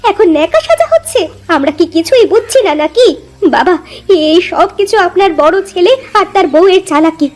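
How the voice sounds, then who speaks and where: A boastful young village wife from a cartoon , acted by a woman. Her voice is shrill and very high, swinging widely as she taunts with haughty spite. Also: expressive, a little fast. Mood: accusing. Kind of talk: cartoon voice acting.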